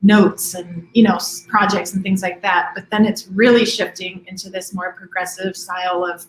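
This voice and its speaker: A woman in her forties from Hawaii, a school admissions director talking relaxed in an interview, with many ums and restarts. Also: medium pitch, normal speed, echoey room, slight background noise, rough recording. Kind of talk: conversational interview.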